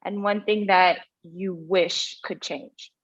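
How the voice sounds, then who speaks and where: A woman hosting a casual online panel and putting quick questions to the guests. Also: lowish voice, steady tone, husky. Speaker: host. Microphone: video call. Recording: slightly dull video call audio.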